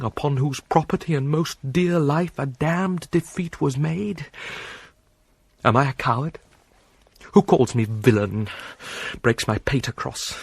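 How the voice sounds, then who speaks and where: An actor with a British accent playing an anguished, self-loathing young prince in a theatrical Shakespearean soliloquy, breathy and brooding. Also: male voice, fairly high pitch, dry recording. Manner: brooding, swinging from hushed musing to ranting.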